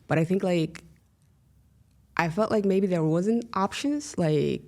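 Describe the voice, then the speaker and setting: A woman's voice: fairly low pitch, slow, mellow. A woman in her thirties, born in Somalia with Somali as her first language, talking casually as a podcast guest, wry and full of "like, you know.". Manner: frequent "like, you know" fillers, wry humor. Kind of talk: casual conversation.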